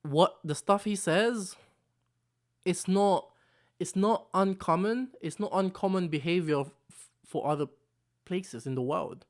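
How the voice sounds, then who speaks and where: A man's voice: high-pitched, a little slow, long pauses. Rambling young man talking to camera. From Philippines. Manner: rambling, repeats himself, frequent "you know what I'm saying". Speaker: vlog host. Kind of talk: casual solo commentary. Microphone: camera microphone.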